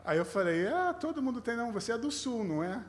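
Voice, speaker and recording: A man from Rio de Janeiro with a slightly mixed Brazilian accent, a rabbi giving an informal, humorous sermon in a halting, conversational manner. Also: very high voice, big pitch swings, slight background noise. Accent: Brazilian with a mixed foreign tinge. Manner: conversational, halting, self-deprecating. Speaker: rabbi, guest preacher.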